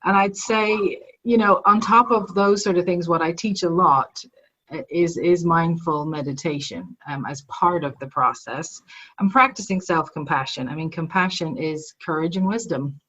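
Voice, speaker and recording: A woman's voice: on the low side, normal speed, fairly bright tone, slightly rough, lo-fi sound. A woman, a guest psychologist and mindfulness teacher, explaining her work in a reflective, conversational way. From Ireland. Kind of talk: conversational podcast interview.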